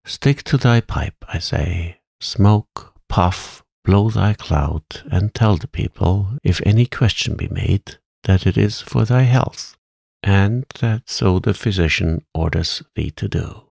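A doting old witch as a man reads her, coaxing in quaint thee-and-thou speech with a sharp edge. The voice stays low and gravelly, at an unhurried pace. The sound is dry and close. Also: dry recording, heavily acted. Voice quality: high, sharp tone. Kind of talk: audiobook character reading.

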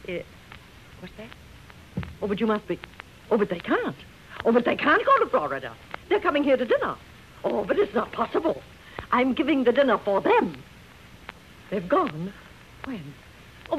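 High, highly strung society hostess. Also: female voice. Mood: dismayed, indignant. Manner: theatrical, imperious. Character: fussy, self-absorbed society hostess and mother, highly strung. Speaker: actor in a radio drama. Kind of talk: 1930s radio acting. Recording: muffled, hissy 1930s radio recording.

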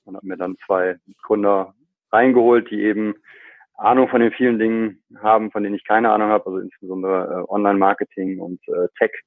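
A man's voice: lowish voice, fairly even intonation, brisk, no room sound. A man from Cologne, a startup founder chatting as a podcast guest, full of fillers and restarts. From Germany, Cologne. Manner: chatty, many fillers and restarts, laughs often. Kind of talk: podcast interview. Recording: dry, phone-like line.

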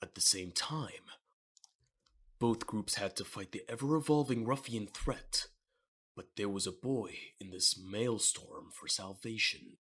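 Husky low-voiced male narrator. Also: a little slow, fairly bright tone. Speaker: narrator of a manga audio drama. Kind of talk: scripted dramatic narration.

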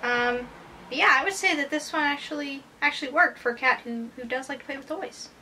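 Young female co-host, high pitch, slightly raspy morning voice, casual reviewer with mock infomercial pitches, faint room hum. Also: a little fast, bright, forceful tone, low-quality recording. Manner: chatty, mock infomercial pitch, many fillers. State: slightly raspy from just waking up. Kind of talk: casual product review chat. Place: home room at a desk.

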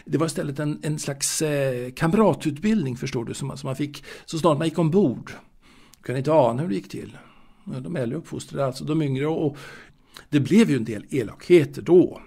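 An actor playing a fastidious, proud waiter and former seaman in an audio drama monologue, confiding in a halting, repetitive way. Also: on the high side, vocal fry, dark tone, slightly rough. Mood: wistful, confiding. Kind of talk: intimate dramatic monologue, told to a listener.

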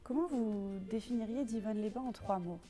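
A woman interviewer reading prepared questions in a brisk, clear manner. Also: on the high side, dark tone, soft background music. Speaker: interviewer for the town's video series. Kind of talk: scripted interview questions and voice-over.

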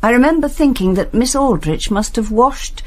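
An actress playing a reserved British cleaner in a radio play, speaking precisely with slight hesitation. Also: female voice, a little high, lively intonation, normal speed. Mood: thoughtful. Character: careful, precise cleaning woman, mildly reserved.

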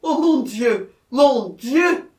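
A man's voice: very high voice, animated, very slow, a little mellow, reverberant, pitched above the performer's own voice, full character voice. A man voicing a terrified, anguished male murderer in an audiobook, pleading and repeating himself in exclamations. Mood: terrified despair.

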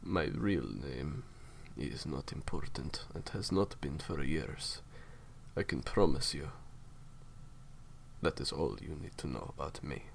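A man's voice: a bass voice, lively intonation, takes it slowly, creaky, a little hiss or hum, exaggerated voice. A man playing a menacing, cold villain in an audio roleplay, speaking in formal, theatrically polite threats. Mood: smug, courteous. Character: menacing, courteous male villain, cold captor. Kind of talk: roleplay audio drama monologue.

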